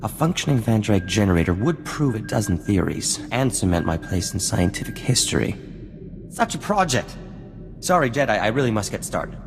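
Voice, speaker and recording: An actor playing a vain, charming scientist in a video game, talking in grandiose, courtly phrases. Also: male voice, on the low side, big pitch swings, normal speed, long reverb tail. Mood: excited. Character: flamboyant, vain, charming male scientist and self-styled genius. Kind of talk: scripted video game acting. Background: music.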